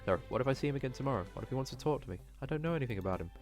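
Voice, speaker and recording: A man's voice: mid-range voice, animated, rapid-fire, darkish tone, faint music behind, close to an everyday voice. A man voicing an anxious, self-doubting young cat student who talks fretfully to himself in a visual novel. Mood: wistful, nervous.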